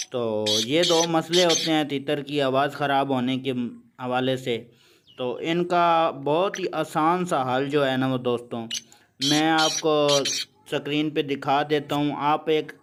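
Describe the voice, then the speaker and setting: A man hosting his own channel, explaining a simple remedy in a plain, repetitive way and calling his viewers friends. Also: fairly high pitch. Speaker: channel host. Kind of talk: instructional vlog on bird care. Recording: noisy home recording.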